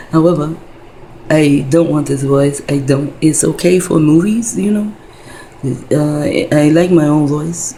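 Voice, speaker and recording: Woman, fifties, gravelly, dark and mellow tone, rambling, profane, many fillers. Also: deep voice, lively intonation, takes it slowly, long pauses, a little hiss or hum, low-quality recording. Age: 50s. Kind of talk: rambling casual monologue.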